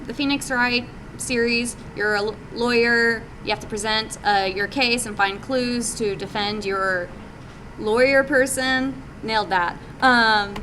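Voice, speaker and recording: A woman's voice: fairly high pitch, sharp tone. A woman giving an informal live presentation as an indie game developer, in a hesitant, conversational way with casual phrasing. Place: live group meeting, presenting to attendees. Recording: live meetup recording with background noise.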